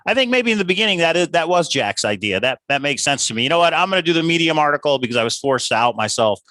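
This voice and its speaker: A man's voice: a little high, sing-song, quick pace, sharp tone, no echo. A man co-hosting a livestream in casual discussion, reasoning aloud with questions and rephrasings. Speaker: livestream co-host.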